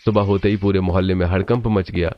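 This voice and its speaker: A man's voice: sits low, fairly even intonation, fast talker, muffled recording. A man narrating a scripted cartoon story as its storyteller.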